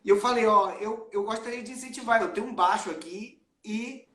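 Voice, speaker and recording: A man's voice: high voice, fairly quick, fairly bright tone. A Brazilian man hosting a music live stream, chatting casually and telling stories with plenty of slang. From Salvador, Brazil. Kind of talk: casual chat. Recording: roomy, echoey live stream sound.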